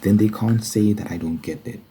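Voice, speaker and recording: A man's voice: fairly low pitch, moderate pace, mellow, a little hiss or hum. A man giving an informal, earnest Bible study monologue in an ASMR style, repeating phrases for emphasis.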